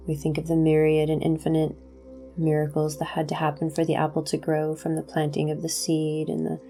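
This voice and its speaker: A woman hosting a guided meditation, introducing it as spiritual talk in deliberate, measured phrases. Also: sits low, warm tone.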